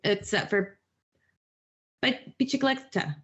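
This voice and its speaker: A woman's voice: mid-range voice, animated, takes it slowly, a bit bright. A woman in a casual chat, thoughtful, explaining things patiently. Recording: dull lo-fi sound.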